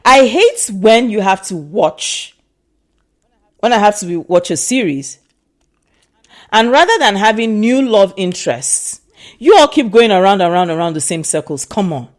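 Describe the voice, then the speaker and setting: Nigerian-accented woman, vlogger, lively swooping pitch, emphatic and repetitive. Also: unhurried. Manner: emphatic and animated, repeats herself for stress. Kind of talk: casual opinion vlog.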